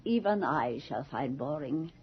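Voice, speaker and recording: Imperious aging noblewoman, husky and low, grandly bored, muffled radio drama sound. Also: animated, a little slow, full character voice. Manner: haughty, grand, drawling. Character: imperious, jaded old aristocratic society hostess.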